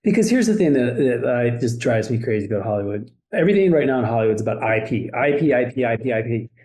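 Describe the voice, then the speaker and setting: A man in his fifties chatting casually as a guest, animated and often checking in with "right?". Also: mid-range voice, fast talker. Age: 50s. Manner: animated, keeps adding "right?". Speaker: guest filmmaker. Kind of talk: casual chat.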